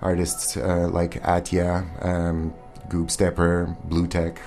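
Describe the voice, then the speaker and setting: A man's voice: sits low, completely flat delivery, slow, creaky, darkish tone. A man in his forties, raised in Montreal, talks as a guest musician in a radio studio, answering earnestly and hesitantly with many ums. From born in Armenia, raised in Montreal. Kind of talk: casual interview answers. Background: faint ambient music.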